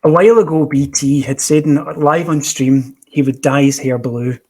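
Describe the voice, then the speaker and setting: A man's voice: medium pitch, moderate pace, darkish tone. A man hosting a casual podcast chat, bantering as he puts listener questions to his guests. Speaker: podcast host.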